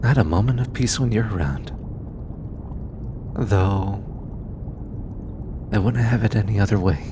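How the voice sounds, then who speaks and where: A man playing a gentle, shy, affectionate alien scientist in an intimate roleplay audio, speaking tenderly and hesitantly in formal, earnest phrases. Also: lowish voice, measured pace, noisy background. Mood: fond, teasing.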